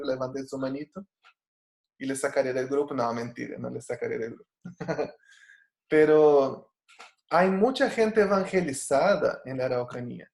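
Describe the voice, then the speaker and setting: A man's voice: on the high side, reverberant. A man from Brazil, a native Portuguese speaker, teaching an online Bible study over a video call. He talks earnestly, with frequent fillers and restarts.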